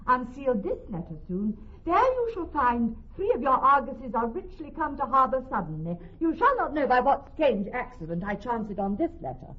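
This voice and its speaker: A woman's voice: high-pitched, sing-song, moderate pace, hardly any pauses. A woman playing a witty, commanding young noblewoman in classical Shakespeare radio drama, poised, articulate and theatrical. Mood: poised assurance. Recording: dull, lo-fi old radio recording.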